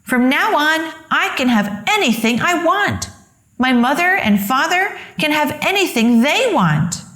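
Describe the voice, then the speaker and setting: A woman's voice: high voice, sing-song, measured pace, a bit bright, reverberant, pitched above the performer's own voice, full character voice. A woman voicing an eager, earnest young boy donkey in a children's storybook. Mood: delighted, eager. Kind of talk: children's storybook read-aloud character voice.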